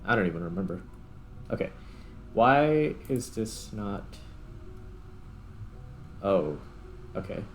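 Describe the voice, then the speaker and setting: A man giving casual gameplay commentary, thinking aloud in short, halting remarks. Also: fairly low pitch, expressive, a little hiss or hum. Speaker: gaming host.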